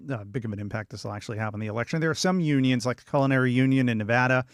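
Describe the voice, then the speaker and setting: A man hosting a radio show, giving casual news commentary in a conversational, halting way with repeated words, close on a studio mic. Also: mid-range voice, no room sound. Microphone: close studio microphone.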